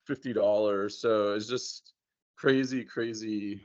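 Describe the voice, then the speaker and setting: A man in casual conversation, talking in a hesitant, halting way and often repeating words. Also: fairly low pitch, fairly even intonation, a little mellow. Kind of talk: casual chat. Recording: muffled, dull lo-fi sound.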